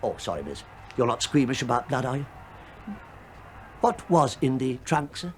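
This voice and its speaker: A man's voice: mid-range voice, very animated, normal speed, slight background noise, close to an everyday voice. An actor playing an English detective inspector in a radio play, a falsely affable, crafty middle-aged man who chats, hesitates and mock-apologises as he digresses. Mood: chatty, sly.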